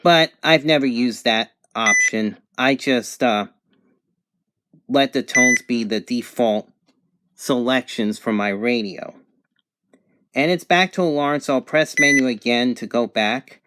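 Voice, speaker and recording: Slow-talking amateur gadget reviewer, noisy recording. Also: medium pitch. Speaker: product reviewer. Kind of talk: casual product review and demonstration. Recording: clear background noise, amateur home recording.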